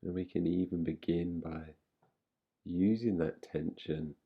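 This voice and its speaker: A man with a low, husky voice leads a guided relaxation. He speaks gently and soothingly, leaving long pauses between his instructions. There is a creaky fry in his delivery, and he never hurries. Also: fairly even intonation, narrow, tinny audio. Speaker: meditation guide. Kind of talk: guided relaxation meditation, yoga nidra.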